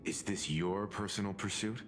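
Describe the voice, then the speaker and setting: A man playing a grave, perceptive adventurer in a video game, speaking in a solemn, deliberate and formal manner. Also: fairly low pitch, a little hiss or hum, lightly acted. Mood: stern, probing. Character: grave, stern, perceptive male adventurer ally.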